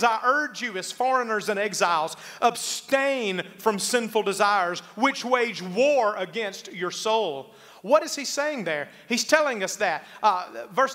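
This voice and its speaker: Bright, high-pitched preacher man. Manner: emphatic, slowing on key phrases for repetition. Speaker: pastor. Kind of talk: sermon with congregational call-and-response. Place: church sanctuary stage, indoors.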